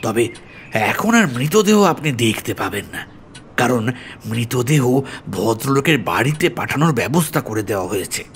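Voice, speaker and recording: A man's voice: mid-range voice, big pitch swings, normal speed, a little hiss or hum, natural voice. A man playing an earnest, deferential police officer in an audio story, explaining things politely and seriously. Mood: grave.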